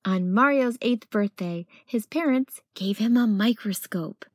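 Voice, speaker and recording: A woman narrating a children's picture book as a read-aloud storyteller, with expressive delivery. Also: medium pitch, a little slow, no room sound, clean studio sound.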